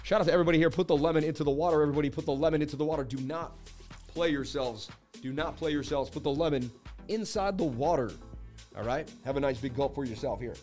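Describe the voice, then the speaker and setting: Host: man, going hoarse, boastful, excitable trading streamer, faint music bed behind. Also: on the high side, fast. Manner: hyped, boastful, laughing banter with chat. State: losing his voice. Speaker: crypto livestream host. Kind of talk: casual livestream market commentary.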